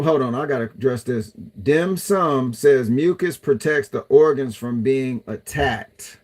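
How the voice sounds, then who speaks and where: Chatty man reading live comments. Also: a little high. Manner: chatty, loose, talks to viewers as y'all. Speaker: livestream host reading chat. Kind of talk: casual livestream chat.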